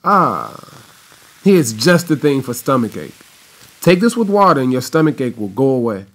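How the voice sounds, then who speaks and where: A man's voice: medium pitch, big pitch swings, normal speed. An American man playing a genial, folksy father of a young family in a radio play. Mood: warm, reassuring. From United States.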